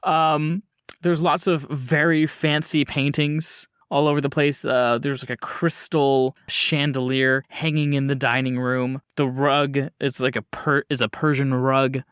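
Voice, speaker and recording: A man acting as game master, improvising descriptive narration in a casual, halting way. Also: mid-range voice, flat delivery, fairly bright tone, clean tone, dry recording, studio quality.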